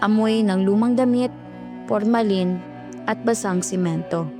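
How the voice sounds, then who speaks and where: A woman's voice: mid-range voice, measured pace, music in the background. A woman with a Filipino accent reading scripted, dramatic horror narration.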